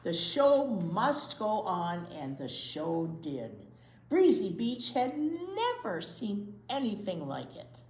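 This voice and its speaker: A woman reading aloud as a children's storytime host, expressively, with drawn-out emphasis on key words. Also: mid-range voice, very animated, a little mellow. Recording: echoey room.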